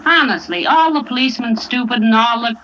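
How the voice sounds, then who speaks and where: An actress playing a sweet-natured, chatty, homey wife in a 1940s radio drama, on a noisy old recording. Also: female voice, a little high, lively intonation, a little fast, a bit bright, dull audio. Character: chatty, sweet-natured wife who loves musical films.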